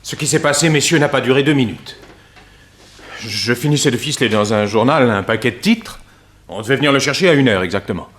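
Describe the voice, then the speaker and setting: An actor playing a plain-spoken, shaken office clerk giving an account in a French radio drama. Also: male voice, mid-range voice, expressive, fairly quick, a bit bright, lightly acted. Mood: shaken, recounting. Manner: storytelling, matter-of-fact. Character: shaken but plain-spoken male clerk recounting events.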